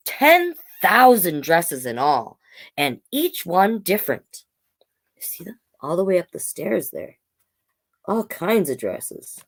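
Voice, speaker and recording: A woman reads a children's storybook at an unhurried pace, with a widely swinging melody. Her voice sits a little low, and she is expressive and coaxing as she hosts storytime. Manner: expressive, coaxing, talking to young listeners. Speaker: storytime host, drag king performer. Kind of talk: children's storybook read-aloud with asides.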